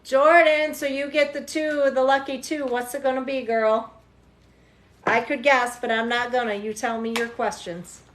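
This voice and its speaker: Brief: woman in her 40s, a bit high-pitched, teasing livestream tarot host, echoey room. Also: fairly even intonation, normal speed, slight background noise. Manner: chatty, teasing, laughs often. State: tired. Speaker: tarot reader hosting a livestream. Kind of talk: casual livestream chat with viewers.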